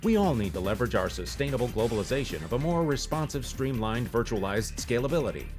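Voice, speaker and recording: Male actor as corporate salesman, medium pitch, very lively, slick patter, parody commercial, backing music. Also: fast, natural voice. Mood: slick, eager. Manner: salesman-like, fluent jargon patter. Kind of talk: mock advertisement pitch.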